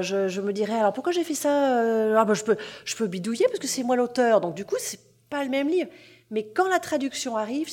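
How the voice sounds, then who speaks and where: Femme romancière bilingue, hésitante et vive. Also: female voice, mid-range voice. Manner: animated, self-deprecating, many hesitations. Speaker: novelist. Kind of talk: interview conversation.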